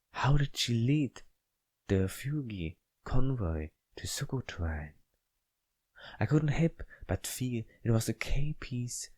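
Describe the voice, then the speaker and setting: Slow, halting man narrating a game. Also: medium pitch, a little mellow, dry recording. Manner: halting, stumbles and repeats words while reading. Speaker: gaming video host playing a visual novel. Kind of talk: casual let's play commentary with read-aloud story text.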